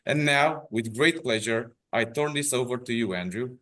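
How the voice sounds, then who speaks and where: A man's voice: mid-range voice, moderate pace, a bit bright. A young man from Romania with a Romanian accent, reading a prepared introduction as a webinar host, careful and a little tense.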